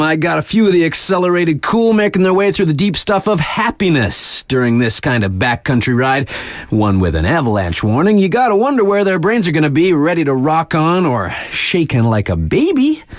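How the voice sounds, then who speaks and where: An actor playing a laid-back, wry radio DJ at a snowboard resort, chatting in slangy, conversational announcements for a game. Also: male voice, mid-range voice, dry recording, close to an everyday voice.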